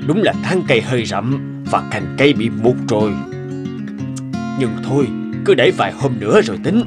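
A man's voice: medium pitch, sing-song, normal speed, music in the background, lightly acted. A man playing a laid-back elderly husband in a cartoon, offhand and drawling. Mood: idle musing.

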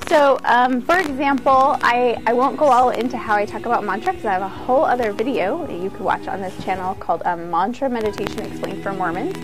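A woman's voice: on the high side, brisk, few pauses, over music, rough recording. A woman giving an informal instructional talk as a yoga teacher, conversational and earnest.

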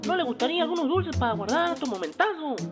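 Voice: high-pitched, big pitch swings, fast talker, music in the background. An actor with a Latin American accent voicing a pushy masked candy peddler in folksy sales patter. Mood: wheedling. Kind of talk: animated fan dub acting.

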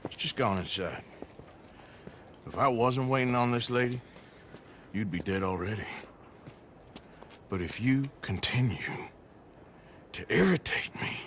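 Sardonic American outlaw, swinging pitch. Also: male voice, fairly bright tone, a little hiss or hum. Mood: quietly menacing. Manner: dry, sarcastic, understated menace. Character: rough, sardonic, long-haired bearded outlaw gunslinger. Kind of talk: video game cutscene dialogue.

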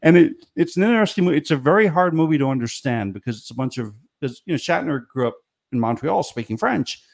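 A man's voice: fairly high pitch, lively intonation, quick pace, creaky. A middle-aged man on a video call, telling stories in casual chat and laughing often. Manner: storytelling, laughs often, restarts. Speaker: guest, comedy writer. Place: small room at home. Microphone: studio mic on video call.

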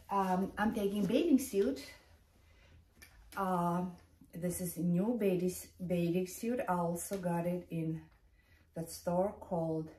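Middle aged lady vlogging, slow halting chat, sounds far from the mic in a room. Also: fairly low pitch, dark tone. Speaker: fashion vlogger. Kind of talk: casual vlog chat while showing items. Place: large carpeted bedroom indoors. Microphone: camera a few feet away. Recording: roomy echo, distant mic, light noise.

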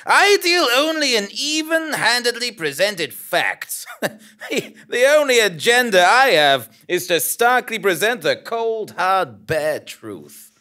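A man's voice: high voice, sing-song, slow pace, sharp tone, full character voice. A man playing an earnest, self-important investigative journalist in a live comic audio drama, with a pompous, emphatic manner. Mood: indignant, earnest. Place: small theatre stage before an audience.

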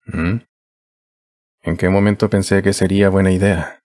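A man playing a weary, gloomy teacher in an anime fandub, deadpan and resigned. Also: sits low, normal speed, no room sound, studio quality, natural voice.

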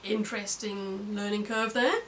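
A woman's voice: mid-range voice, little pitch movement, normal speed, a bit bright, slight background noise, low-quality recording. A middle-aged woman chatting casually as a guest reviewer, with brief, understated remarks. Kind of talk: casual chat. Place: indoor room with bookshelves.

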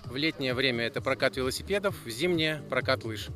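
A man's voice: medium pitch, expressive, fairly quick, pushed and bright, soft background music. A man describing the facilities of a route, formal and businesslike, with a few fillers. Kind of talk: interview.

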